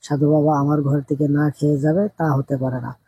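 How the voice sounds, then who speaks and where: A man's voice: on the high side, little pitch movement, normal speed, dark tone, muffled recording, slight background noise, close to an everyday voice. A man voicing a poor, kind-hearted, hardworking village farmer in an earnest, plain-spoken way for an amateur cartoon story. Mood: resolute, generous.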